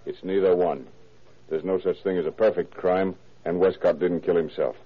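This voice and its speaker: An actor playing a shrewd, commanding police detective in an old-time radio drama, with a dry, clipped, authoritative delivery. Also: male voice, deep voice, steady tone, normal speed, slightly rough. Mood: firm, assured. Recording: muffled, narrow-band vintage radio recording.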